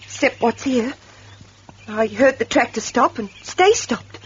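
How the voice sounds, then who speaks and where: Farm widow, high-pitched, plain, deferential, muffled radio drama, faint background noise. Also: female voice, animated, measured pace. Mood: shaken, deferential.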